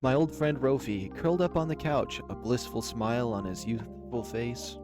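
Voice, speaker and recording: A man reading expressive first-person narration from a visual novel, with music behind him. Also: mid-range voice, normal speed.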